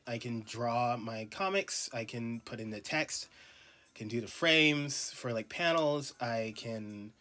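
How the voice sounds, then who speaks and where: A man's voice: medium pitch, pushed and bright. A man talking casually while he draws, congested from allergies, rambling with many ums and uhs. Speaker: artist streaming a drawing session. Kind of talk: casual talk while drawing.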